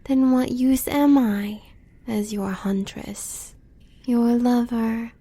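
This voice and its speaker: An American woman playing a devoted, coolly bloodthirsty huntress in a romantic audio roleplay, breathy and often whispering. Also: on the high side, steady tone, warm tone. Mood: soothing, devoted. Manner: intimate, measured.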